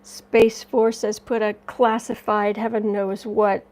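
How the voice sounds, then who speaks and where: A woman hosting a casual livestream, chatty and rambling as she reads viewer comments, her voice slightly raspy from dust allergies. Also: medium pitch, little pitch movement, takes it slowly, a little mellow. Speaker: tarot and astrology livestream host.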